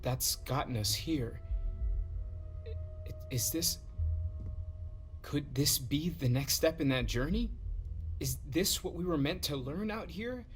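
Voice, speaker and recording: A man's voice: a little high, animated, slow, long pauses, soft background music. A man voicing a devout, searching space explorer in a video game, earnest and hesitant with wonder. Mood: awed, unsure. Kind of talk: dramatic game dialogue.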